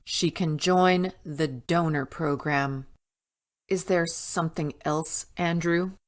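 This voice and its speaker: Icy corporate matriarch for audio drama: deep for a woman, unhurried, commanding, contemptuous. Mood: cold, exasperated. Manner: curt, commanding, weary contempt. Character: cold, imperious female corporate boss.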